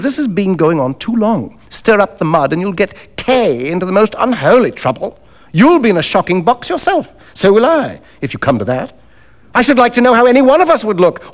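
A man voicing a cynical, dapper young doctor in a story, brisk, worldly and sneering. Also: high voice, big pitch swings, voice pushed up. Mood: cool, insistent.

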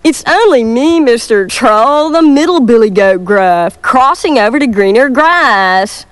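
Nervous mid-sized billy goat, voiced by a woman, pleading, raised pitch, storybook reading. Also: animated, measured pace, clean tone, no room sound. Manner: medium sort of voice, nervous and pleading.

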